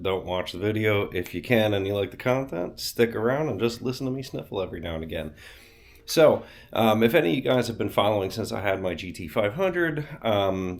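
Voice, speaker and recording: Low-voiced man with a stuffy nose. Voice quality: slightly nasal. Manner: chatty, many fillers, blunt. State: congested from a cold, sniffling. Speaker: car channel host. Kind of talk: casual garage vlog. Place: cold home garage.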